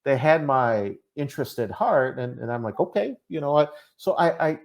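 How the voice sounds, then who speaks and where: Halting man with a Minnesotan accent. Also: medium pitch, lively intonation, gravelly. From Minnesota, USA. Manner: hesitant, many fillers and restarts. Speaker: podcast guest. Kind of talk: casual interview talk.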